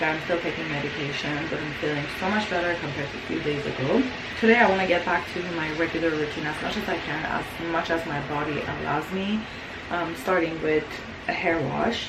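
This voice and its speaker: A young woman with a raspy voice, sick with the flu, chatting casually to camera in a tired, rambling way. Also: fairly low pitch, roomy sound, heavy background noise. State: sick with flu, hoarse throat. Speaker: lifestyle vlogger. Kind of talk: casual vlog chat to camera. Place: indoors at home.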